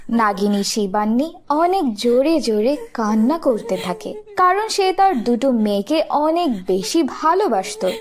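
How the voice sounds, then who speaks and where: A woman narrating a scripted fairy tale for children. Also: a little high.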